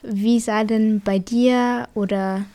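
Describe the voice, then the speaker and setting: A woman with a slightly high voice asks interview questions in a friendly way. She hesitates now and then and fills the gaps with small sounds. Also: fairly even intonation, slow, mellow.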